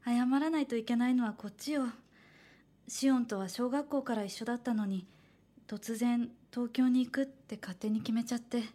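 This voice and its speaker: A woman's voice: fairly high pitch, steady tone, moderate pace, mellow, close to an everyday voice. An actress playing a cheerful young woman, an aspiring manga artist who reassures others though a little uneasy, in a Japanese horror radio drama. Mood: warm, friendly. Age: young adult. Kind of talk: Japanese horror radio drama acting with first-person narration.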